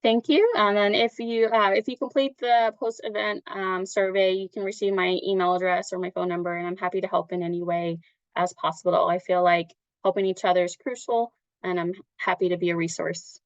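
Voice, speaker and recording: A woman's voice: on the low side, steady tone, a little fast, clean tone, no room sound. A woman speaking at an online workshop, closing her talk in an encouraging, casual way with fillers. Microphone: video call. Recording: dull dry lo-fi audio.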